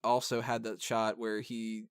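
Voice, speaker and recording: A man's voice: lowish voice, monotone, measured pace, smooth, no room sound, clean studio sound. A man hosting a podcast, discussing a film casually in a rambling, enthusiastic way. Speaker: podcast host reviewing a film.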